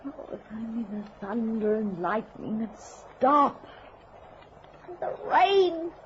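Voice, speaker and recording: An actress playing an anxious, pleading wife stranded on a lonely road in an old-time radio drama. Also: female voice, on the high side, sing-song, takes it slowly. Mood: terrified, pleading. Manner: fearful, pleading, jumpy. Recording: noisy, muffled vintage radio drama recording.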